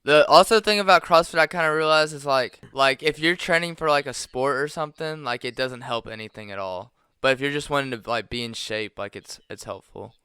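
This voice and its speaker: Bright, clear-voiced young man chatting. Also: medium pitch, brisk, hardly any pauses, dry recording, studio quality. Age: 20s. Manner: laughs often, rambling with fillers. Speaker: podcast co-host. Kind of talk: casual chat.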